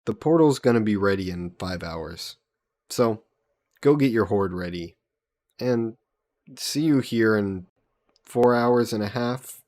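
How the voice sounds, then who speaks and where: A man playing a slow-talking, easygoing young hero. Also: fairly low pitch, lively intonation. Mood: warm, casual. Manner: casual, playful, gently coaxing. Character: easygoing, teasing young male isekai hero adventurer. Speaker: voice actor. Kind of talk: intimate roleplay audio drama.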